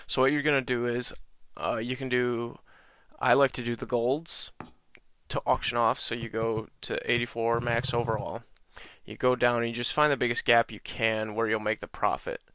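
A young man with a slight cold-raspy voice, casually walking through a video game tutorial with lots of ums. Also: mid-range voice, moderate pace. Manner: relaxed, many ums and uhs, talks through menus. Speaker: gaming channel host.

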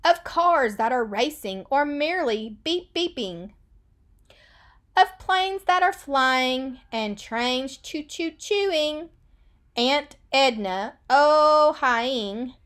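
Slow, high-voiced woman teacher reading aloud. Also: expressive, fairly bright tone. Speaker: elementary school teacher. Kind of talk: read-aloud picture book lesson for children.

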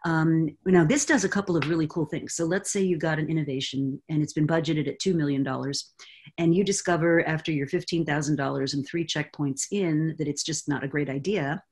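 A woman in her sixties explaining with confident, animated energy as guest expert on a video call from a home study. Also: low-pitched, brisk. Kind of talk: video call roundtable answer. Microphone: webcam on a video call.

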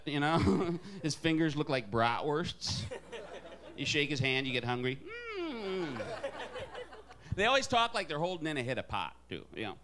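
Nasal Wisconsin comic, lively crowd. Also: male voice, a little high. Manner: animated, jumps into loud put-on voices. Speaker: stand-up comedian. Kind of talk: stand-up set. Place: comedy club stage. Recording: live club with crowd and noise. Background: audience laughter.

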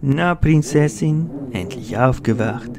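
An actor playing a menacing, sneering kidnapper in an audio drama, mocking, threatening and condescending. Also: male voice, medium pitch, lively intonation, slow pace. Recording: noisy ambient background.

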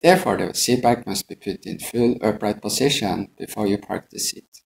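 Measured, gravelly male pilot narrator. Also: on the low side. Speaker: airline pilot instructor. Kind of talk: scripted instructional narration.

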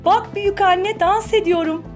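Excitable little cartoon boy, played by a woman, very high, eager and childlike. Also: normal speed, soft background music.

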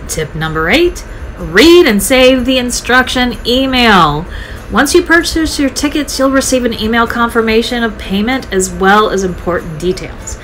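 A woman with a fairly high voice narrates a travel vlog in an upbeat, chatty way. Her voice-over sounds scripted and enthusiastic. Light music plays faintly behind her. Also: animated, normal speed, fairly bright tone, poor audio. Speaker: travel vlogger.

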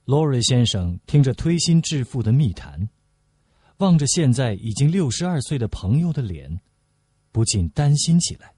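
A man's voice: mid-range voice, very animated, moderate pace, warm tone, breathy edge, dry recording. A man reading a novel aloud as scripted audiobook narration.

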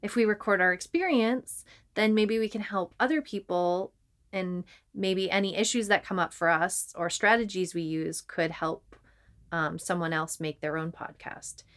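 A woman's voice: lowish voice, moderate pace. A woman talking conversationally as a podcast co-host.

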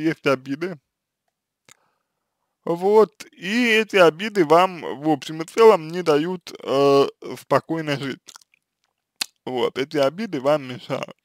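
A man giving informal counseling advice, halting, often repeating and restarting his phrases. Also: on the high side, no room sound, studio quality. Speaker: counselor answering written questions. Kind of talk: reading a letter aloud, then informal advice.

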